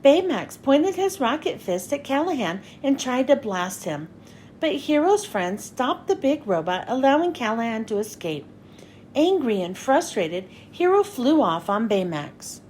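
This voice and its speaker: A woman reading a children's story aloud in an expressive, dramatic storytelling style. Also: fairly high pitch, measured pace, slight background noise. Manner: expressive, dramatic with character lines. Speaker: children's book reader. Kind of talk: animated read-aloud storytelling for kids.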